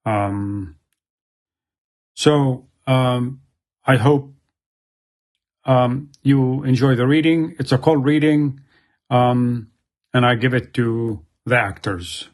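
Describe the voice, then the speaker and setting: A man giving an informal spoken introduction as a presenter, halting and hesitant as he goes. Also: medium pitch, fairly even intonation, a little mellow. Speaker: presenter introducing a play reading.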